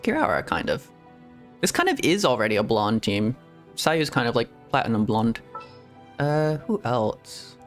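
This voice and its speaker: A man's voice: mid-range voice, very animated, a little slow. A man streaming a video game, chatting and rambling casually and laughing often. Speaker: VTuber streamer. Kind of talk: casual gameplay stream commentary. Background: faint game music.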